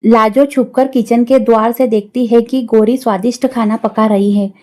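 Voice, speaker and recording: A woman's voice: a little high, fairly even intonation, moderate pace, warm tone. A woman narrating a scripted cartoon story as a storyteller.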